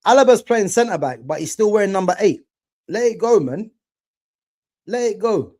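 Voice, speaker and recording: A man's voice: high-pitched, lively intonation, moderate pace, mellow. A young man from England chatting casually with viewers while watching football live, slangy and full of restarts. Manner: chatty, slangy, talks to chat, restarts often. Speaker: live watch-along streamer.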